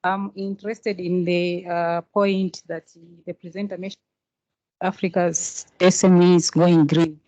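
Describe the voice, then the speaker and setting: A woman from Malawi who works on a project, asking a question at a workshop in measured speech with frequent pauses. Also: lowish voice, level tone, warm tone, no room sound, poor audio. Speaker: project worker. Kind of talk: conference floor question.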